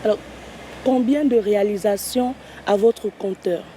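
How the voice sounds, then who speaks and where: A woman's voice: medium pitch, measured pace, warm tone, a little hiss or hum. Formal female TV interviewer. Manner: polite, formal questioning.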